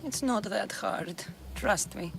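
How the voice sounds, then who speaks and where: A woman's voice: medium pitch, slow, creaky. A woman playing a tough, sardonic young mercenary in a video game, dry, blunt and impatient. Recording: game music under the voice. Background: game music.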